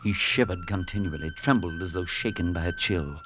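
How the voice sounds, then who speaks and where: An actor playing a grave, solicitous clergyman who tells a horror tale with measured, dramatic delivery in an old radio drama. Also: low-pitched, natural voice. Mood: grave, haunted. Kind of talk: 1940s-50s radio drama acting and narration. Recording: noisy vintage radio recording.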